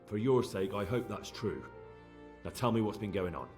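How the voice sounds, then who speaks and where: Man acting a bold young game investigator, blunt, swingy pitch, faint music behind. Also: animated, normal speed, darkish tone, close to an everyday voice. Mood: menacing. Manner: direct, challenging.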